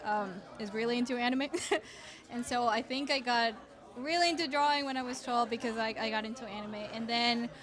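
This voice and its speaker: A woman's voice: a little high, moderate pace, crowd noise. A young woman from Costa Rica, an art teacher, chatting enthusiastically in an interview at an art gallery, laughing often. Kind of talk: casual interview chat.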